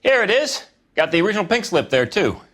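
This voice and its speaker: A man's voice: sits high, big pitch swings, fast talker, fairly bright tone, a little hiss or hum. Brash, swooping used car salesman. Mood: breezy, folksy. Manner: drawling showman's joking patter. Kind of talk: video game cutscene acting, 1940s American setting.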